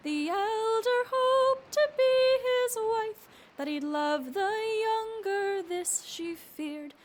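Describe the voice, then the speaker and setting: Casting: prim noble maiden, very high singing voice, slow ballad, long reverb. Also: female voice. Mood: solemn. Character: timid, proper young noblewoman singing a ballad. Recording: roomy, long reverb, faint music.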